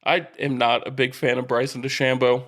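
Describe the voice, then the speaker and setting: A man's voice: mid-range voice, level tone, brisk, a bit bright. A man co-hosting a sports podcast in casual banter, teasing and quizzing as he chats. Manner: teasing, quizzing his co-host.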